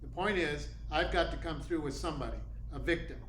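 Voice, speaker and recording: A man's voice: fairly high pitch, fairly quick, fairly bright tone, lightly acted. A man playing a cocky, hard-boiled private detective in a radio play, brusque and wisecracking. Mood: cool, bargaining. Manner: brusque, wisecracking tough talk. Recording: echoey room with faint noise.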